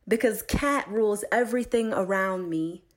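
A woman poet reading her own work aloud in a measured, deliberate recitation, phrase by phrase with pauses. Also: mid-range voice.

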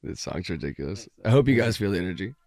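A man with a raspy, worn voice chatting casually about his music, loose and swearing, quick to laugh. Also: on the low side, expressive, a little mellow, no echo. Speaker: musician playing his tracks. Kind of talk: casual chat.

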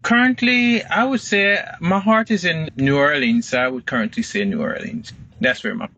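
Guest, middle-aged man, Guyanese accent, relaxed storyteller, bright tone. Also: a little high, normal speed, creaky, dull audio. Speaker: guest, photographer. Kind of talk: casual interview answers.

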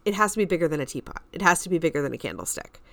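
A woman's voice: sits low, sing-song, very fast, creaky. A woman co-hosting a podcast, chatting casually and giving emphatic opinions in pointed statements. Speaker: podcast co-host.

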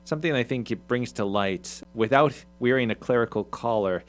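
A man hosting a talk show, summarizing an article in a halting way, with many ums and restarts. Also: medium pitch, faint music behind. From Irish, Swedish ancestry mentioned. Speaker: radio show host. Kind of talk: casual talk show discussion.

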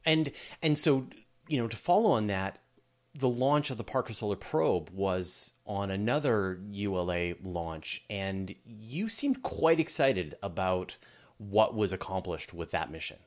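A man's voice: on the low side, lively intonation, measured pace. A middle-aged man hosting a conversational interview, thinking aloud as he builds long, wandering questions. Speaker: interviewer, podcast host.

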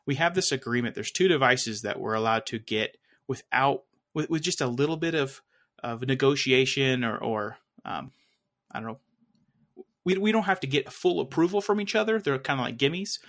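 A man's voice: mid-range voice, moderate pace, fairly bright tone. Chatty man rambling about gadgets. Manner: rambling, full of fillers and restarts. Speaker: podcast co-host. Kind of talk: casual tech chat.

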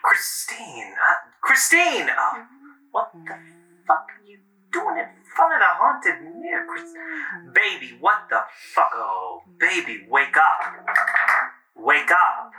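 A young man playing a panicked boyfriend, shouting and swearing as he tries to wake his partner. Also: high-pitched, wildly swooping pitch, slow pace, echoey room, far from the mic, slight background noise, heavily acted, rough recording. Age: young adult. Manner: frantic, shouting her name, swearing. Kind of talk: horror audio drama acting.

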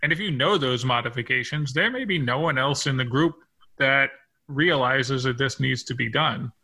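A man with a very bright, forward voice and a gravelly fry in it. He speaks as a podcast co-host in casual, reflective conversation, at a middling pitch. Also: moderate pace. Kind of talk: casual workplace discussion.

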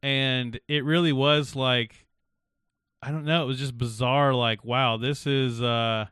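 A man's voice: mid-range voice, fairly even intonation, a little slow, a bit bright, smooth, no room sound, clean studio sound. A man hosting a podcast, chatting casually and rambling with frequent laughs. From South Carolina. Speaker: podcast host.

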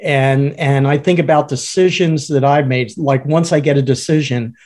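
A man co-hosting a podcast who calls himself a baritone, thinking aloud in halting, filler-heavy speech. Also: fairly even intonation, darkish tone. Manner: hesitant, many uh fillers and restarts. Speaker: podcast co-host. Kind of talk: casual conversational podcast.

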